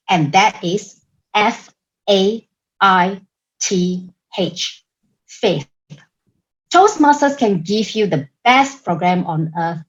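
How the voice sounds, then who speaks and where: A woman with a Singaporean English accent delivering a prepared club speech over a video call, in a deliberate, emphatic manner. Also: mid-range voice, muffled recording. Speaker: club member giving a speech.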